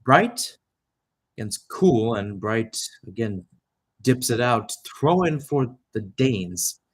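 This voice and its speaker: A man's voice: medium pitch, very animated, takes it slowly, slightly rough. Young man calling soccer play-by-play. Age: young adult. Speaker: radio play-by-play commentator. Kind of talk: live soccer commentary.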